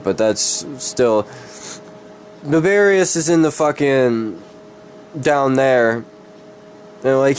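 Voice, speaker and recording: A man reads a fantasy tale in a dramatic amateur style, at a middle pitch. He is sick and often stumbles, dropping into casual, sweary asides. Faint noise sits on the recording. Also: darkish tone. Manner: stumbling, tongue-tied, sweary. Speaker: amateur reader of his own fantasy story.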